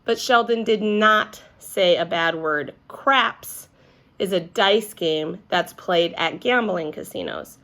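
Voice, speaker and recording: A woman's voice: lowish voice, slow pace, a bit bright. A woman voicing a kindly, wry male schoolteacher who patiently explains things to children in a storybook. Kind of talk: children's storybook read-aloud.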